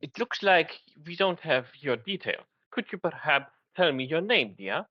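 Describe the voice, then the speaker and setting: A performer voicing a polite, flustered female pony nurse in a fan fiction reading, gentle and hesitant, on a dull microphone. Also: mid-range voice, animated, a little slow, no room sound. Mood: gently concerned.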